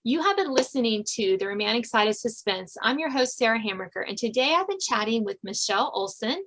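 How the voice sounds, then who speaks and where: A woman's voice: fairly high pitch, expressive, a little fast, fairly bright tone. An American woman from Virginia chatting casually as the host of an interview podcast.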